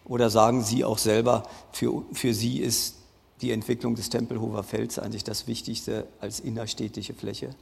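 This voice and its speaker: A man moderating a public panel discussion, phrasing his questions in a halting, searching way. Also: fairly low pitch, flat delivery, vocal fry. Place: large hall at a public event. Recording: reverberant hall.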